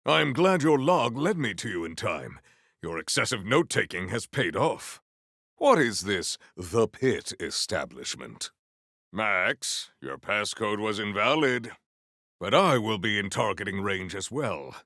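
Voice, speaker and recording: A proud, battle-hungry game warrior with a low, gravelly voice. He speaks in formal, ceremonious phrases, grave and stern, at a slow and weighty pace. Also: sing-song, fairly bright tone, no room sound, studio quality, heavily acted. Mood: solemn, measured. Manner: formal, measured, ceremonious diction, few contractions. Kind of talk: video game character voice lines.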